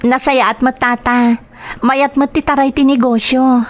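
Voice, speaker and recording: A woman's voice: high-pitched, flat delivery, unhurried. A woman playing a dutiful, affectionate young working daughter in a radio-style drama, with a Filipino accent and an earnest, respectful manner. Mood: grateful, modest. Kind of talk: Ilocano audio drama acting.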